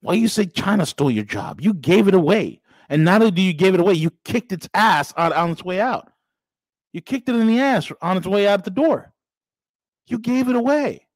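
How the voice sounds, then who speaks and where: A man's voice: high voice, animated, normal speed, no room sound. A man in his forties hosting a casual political podcast, speaking straight to listeners with preachy, rising emphasis. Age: 40s. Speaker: podcast host.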